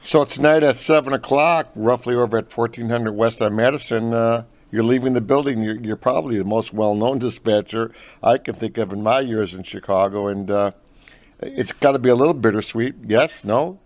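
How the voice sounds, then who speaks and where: A man's voice: medium pitch, moderate pace, darkish tone, no room sound. An American man hosting a conversational phone interview in a friendly, familiar way. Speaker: interviewer, host.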